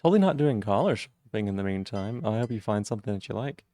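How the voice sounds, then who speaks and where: A man streaming live, chatting casually with viewers and greeting them by name, with a rough voice today. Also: lively intonation, fast, warm tone, dry recording. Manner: friendly, greets chat members by name, jumps up in pitch on greetings.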